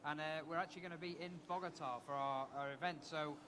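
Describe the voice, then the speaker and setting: A man's voice: on the high side, fairly even intonation, fast talker, background noise. A man moderating a live conference panel, wrapping up the session in an upbeat way.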